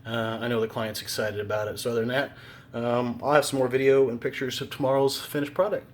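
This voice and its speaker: Laid-back installer, rambling. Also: male voice, mid-range voice, fast talker. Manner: relaxed, rambling, lots of fillers. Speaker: home theater installer. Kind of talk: casual on-site video demo. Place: unfinished basement of a house.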